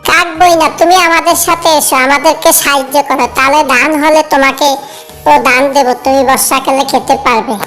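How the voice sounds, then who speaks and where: Female actor for sensible little mama bird in an animated fairy tale. High pitch, friendly patient delivery, faint music. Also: a little fast. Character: small sensible mother tailorbird, kindly and hardworking.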